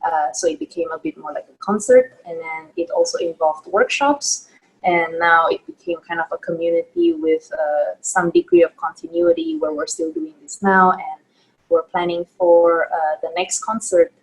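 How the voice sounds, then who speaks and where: Husky low-voiced woman from Indonesia. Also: lively intonation, moderate pace, poor audio. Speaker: performance artist and project manager. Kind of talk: casual self-introduction on a group call. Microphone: video call.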